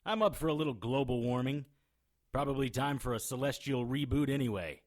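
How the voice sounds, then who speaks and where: An actor playing a wry, defiant hard-boiled supernatural investigator in an audio drama, with sardonic retorts and noir delivery. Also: male voice, mid-range voice, brisk, natural voice. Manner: sardonic, noir-style first-person narration and retorts.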